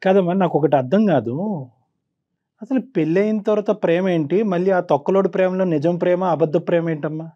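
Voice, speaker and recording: A man's voice: sits high, fairly quick, muffled recording. A man counselling on a talk show, probing in a blunt manner.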